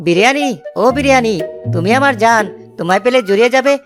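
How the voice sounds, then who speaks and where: A woman's voice: fairly high pitch, lively intonation, quick pace, soft background music, lightly acted. A woman voicing a lazy, gluttonous young village man in a cartoon story, whining and carefree. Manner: whining, carefree, dreamy about food.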